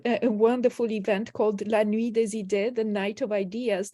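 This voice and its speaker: A woman's voice: on the high side, dry recording, clean studio sound. A woman from Romania, hosting a video call and giving enthusiastic thanks and announcements. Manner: enthusiastic, warm thanks with frequent fillers. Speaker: host from the organizing cultural institute.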